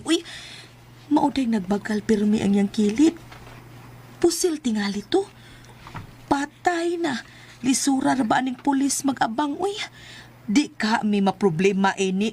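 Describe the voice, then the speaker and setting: A woman with a Cebuano Filipino accent playing a chatty, expressive housewife in a comedy drama. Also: mid-range voice, normal speed, slight background noise, lightly acted. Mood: nervous. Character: chatty, easily impressed housewife and landlady.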